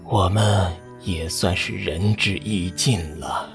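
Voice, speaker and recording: A man playing an aging, forgiving father in a Chinese costume audio drama, speaking in a grave, measured, sighing manner. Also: deep voice, steady tone, vocal fry, soft background music, full character voice. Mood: weary, benevolent.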